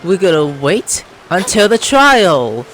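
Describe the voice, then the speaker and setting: A woman's voice: lowish voice, big pitch swings, slow pace, a bit bright, slight background noise, rough recording. A woman hosting a gaming video with casual, informal, reactive commentary.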